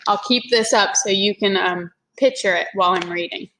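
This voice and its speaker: A woman's voice: mid-range voice, moderate pace, a bit bright, low-quality recording. A woman reading a children's story aloud in a teacherly way, explaining things to young listeners. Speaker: teacher-like read-aloud reader.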